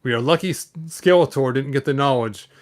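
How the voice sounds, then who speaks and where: A man's voice: medium pitch, brisk, close to an everyday voice. A bold, good-natured hero from a children's storybook, acted out by a man reading aloud. He makes plain declarations and likes to hand out a moral. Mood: earnest, preachy.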